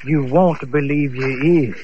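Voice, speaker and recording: An actor playing a plain-talking, folksy tugboat skipper who survived a shipwreck, in a radio drama. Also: male voice, mid-range voice, slow, natural voice. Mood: eager. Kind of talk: old-time radio drama acting. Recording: dull, noisy radio-style recording.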